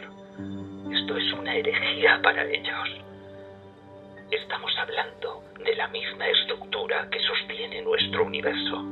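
A man with a Castilian Spanish accent playing an obsessed, cryptic older mathematics professor in a dubbed film, speaking with breathy, intense deliberation. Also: lowish voice, narrow, tinny audio. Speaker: dubbing actor.

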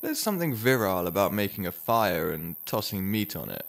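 An actor playing a proud, assured husband with a deliberate manner in a radio drama. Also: male voice, lowish voice, big pitch swings, vocal fry, full character voice. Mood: affable, boastful. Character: Charles, proud record producer husband with menacing streak. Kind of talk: naturalistic radio drama dialogue.

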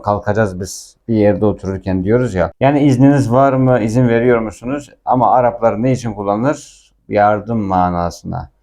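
A man's voice: mid-range voice, a little mellow. A man giving a casual language lesson, explaining film dialogue and repeating words with their translations. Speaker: Arabic teacher.

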